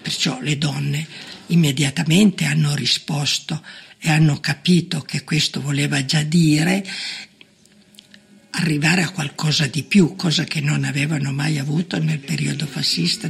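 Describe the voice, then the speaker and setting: A woman in her eighties or older giving spontaneous testimony, halting and emphatic, repeating words as she goes. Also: sits low, gravelly. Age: 80s or older. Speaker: former partisan giving testimony. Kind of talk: spontaneous interview recollection.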